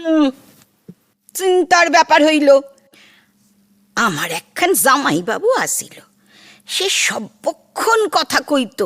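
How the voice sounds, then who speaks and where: Nosy rustic maid, East Bengali accent, high pitch, slow drawn-out folksy phrasing, comic audio drama. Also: female voice, sing-song, fairly bright tone, heavily acted. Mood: dramatic storytelling. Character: chatty nosy rustic housemaid, earnest, tirelessly talkative. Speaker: voice actor.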